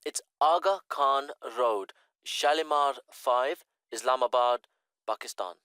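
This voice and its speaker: A man's voice: medium pitch, takes it slowly, pushed and bright, clear, resonant tone, no room sound. A man acting a polite, careful student making an enquiry in a scripted listening-test dialogue. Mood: polite, eager. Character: courteous eager male Pakistani student enquiring by phone.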